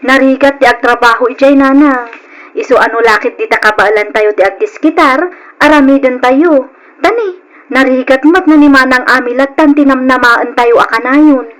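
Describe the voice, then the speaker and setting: A woman's voice: sits high, moderate pace, a bit bright, rough recording. A woman playing a dutiful, considerate young provincial sister in an old Philippine radio drama. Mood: earnest protesting.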